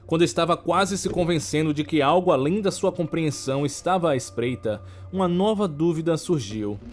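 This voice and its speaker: A man with a Brazilian accent narrating a scripted horror story in a measured, dramatic way. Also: fairly high pitch.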